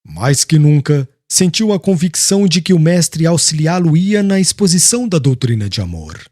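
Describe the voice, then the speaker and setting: A man's voice: on the high side, very animated, normal speed, darkish tone, no echo, clean studio sound. A man narrating an audiobook in a solemn, formal and dramatic literary style. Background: music.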